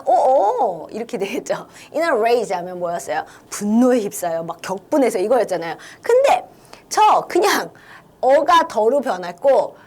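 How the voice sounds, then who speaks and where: Korean lady teacher explaining english to kids, voice goes way up and down, a bit high. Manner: lively, coaxing, talks to students directly. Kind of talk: online English reading lesson for kids.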